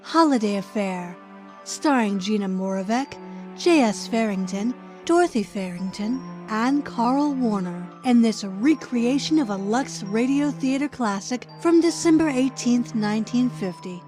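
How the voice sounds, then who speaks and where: A woman's voice: a little high, expressive, unhurried. A woman reading a scripted old-time radio introduction as the announcer. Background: music.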